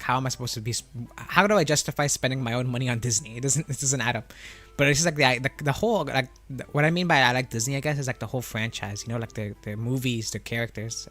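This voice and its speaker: A man's voice: medium pitch, pushed and bright. A young man from Florida chatting casually with his live-stream viewers, rambling and laughing as he answers chat questions. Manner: laid-back and rambling, reads out chat questions, frequent laughs and self-corrections.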